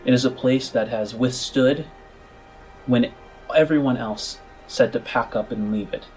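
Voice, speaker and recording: Mid-pitched male game master over faint music. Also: measured pace, a little mellow, rough recording. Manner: addresses the listener directly. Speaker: game master and podcast host. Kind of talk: podcast announcement, then slow scripted scene narration. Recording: music under the voice.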